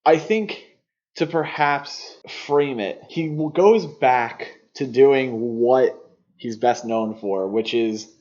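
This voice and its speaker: Man, mid-pitched voice, podcast co-host, casual opinionated film talk, slightly muffled lo-fi recording. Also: slow, a little mellow. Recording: slightly dull lo-fi podcast audio.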